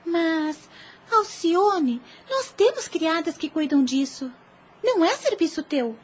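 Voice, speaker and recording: An actress playing an earnest, caring little girl in a Brazilian audio drama, with a childlike, animated delivery. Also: extremely high pitch, slow pace, exaggerated voice. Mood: puzzled.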